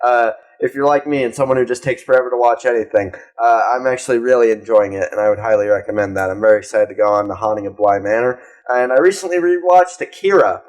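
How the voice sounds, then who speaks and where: A man's voice: mid-range voice, fast talker, few pauses. A young adult man co-hosting a podcast in casual, rambling chat sprinkled with swearing. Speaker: podcast co-host.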